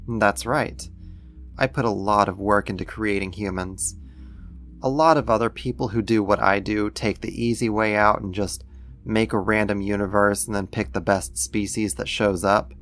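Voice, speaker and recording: A man playing a doting alien creator who soothes a human pet, affectionate and gently possessive, in an ASMR roleplay. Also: fairly low pitch, steady tone, moderate pace, faint music behind. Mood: proud, reassuring.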